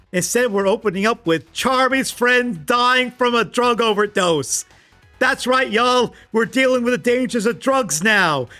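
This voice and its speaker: A man's voice: very high-pitched, sharp tone. A man delivering scripted comedic commentary as a host, in a sarcastic, exasperated, casual manner with some swearing. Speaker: video essayist and host. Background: background music.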